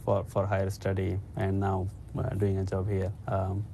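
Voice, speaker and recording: A man's voice: sits low, completely flat delivery, moderate pace, creaky, mellow, a little hiss or hum. A man from Bangladesh introducing himself in a hesitant way, restarting words and using fillers. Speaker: engineer. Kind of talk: unscripted self-introduction.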